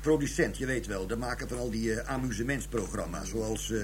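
An actor playing a chatty, jokey police detective in a Dutch radio drama. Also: male voice, fairly low pitch, brisk, noisy background, lightly acted. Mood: joking. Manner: animated, jokey.

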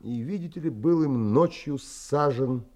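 An actor playing an earnest, educated castaway who narrates his sea adventure in an audio drama, deliberate, courteous and expressive. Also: medium pitch, a crowd in the background, close to an everyday voice.

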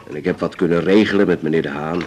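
An actor playing a troubled middle-aged father in a radio drama, confiding haltingly and repeating his words. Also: sits low, a little mellow, close to an everyday voice. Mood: compassionate. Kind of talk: naturalistic 1980s radio drama acting.

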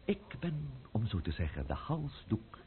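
A man's voice: mid-range voice, big pitch swings, breathy edge, phone-quality sound, heavily acted. A male actor playing a cunning, menacing, mocking man of power in a theatrical radio play. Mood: commanding, grim. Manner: sardonic, theatrical, deliberate.

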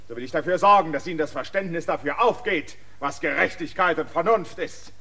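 A defiant, indignant land surveyor. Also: male voice, fairly high pitch, a little fast. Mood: furious. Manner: indignant, bursts into angry shouting. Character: proud defiant male land surveyor demanding his rights. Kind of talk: radio play acting.

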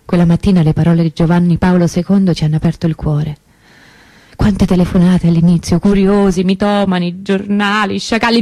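An actress as an anguished young woman in a radio drama, telling of her search for a missing sister. She confides in a halting way, repeating words and pressing her questions. The mid-pitched voice sits in a very dry, roomless sound. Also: a little mellow, no room sound, lightly acted. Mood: strained, restless. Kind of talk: dramatic radio theatre monologue.

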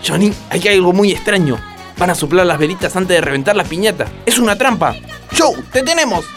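A man's voice: sits high, lively intonation, brisk. Man playing a pompous detective boss, swooping delivery. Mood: dramatic. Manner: theatrical, mock-solemn. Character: pompous male detective boss, earnestly silly. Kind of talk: parody radio play acting in neutral dubbing Spanish. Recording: music behind the voice.

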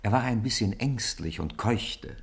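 Wry old-time radio play narrator, lowish voice, really animated delivery. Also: male voice, a little slow. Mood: wry storytelling. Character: wry storyteller narrating a boys' boarding school tale.

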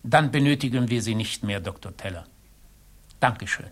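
A man's voice: mid-range voice, moderate pace, very noisy, close to an everyday voice. Formal man acting a hearing chairman. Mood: formal, courteous. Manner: formal, ceremonious. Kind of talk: German radio play acting, official proceedings.

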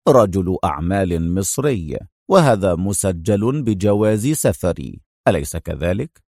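Casting: calm, sardonic leading man voiced by a male reader, low register, cold composure, audiobook thriller, dry close recording. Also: unhurried, husky, no echo, full character voice. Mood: cool, calm. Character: cool, unflappable, wryly sarcastic Egyptian male hero. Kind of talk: dramatized audiobook character voice.

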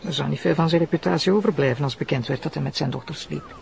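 A woman's voice: deep voice, fast talker, creaky, a little mellow. A woman playing a persistent public prosecutor in a TV drama, polite but insistent as she questions, with a Flemish accent. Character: probing, persistent female public prosecutor posing as journalist. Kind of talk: naturalistic TV drama acting. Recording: noisy background ambience.

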